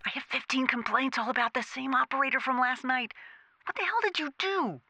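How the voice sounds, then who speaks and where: A woman's voice: high-pitched, flat delivery, fast talker, bright, forceful tone, no echo. An actress playing a harsh woman office manager who scolds an operator in a cold, clipped way. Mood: stern.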